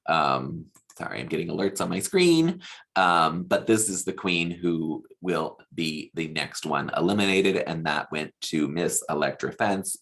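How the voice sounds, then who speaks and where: A man's voice: very deep voice, vocal fry, a bit bright. A man giving a chatty, opinionated recap as a host, with a casual, gossipy way of talking. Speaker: podcast host reviewing a TV show.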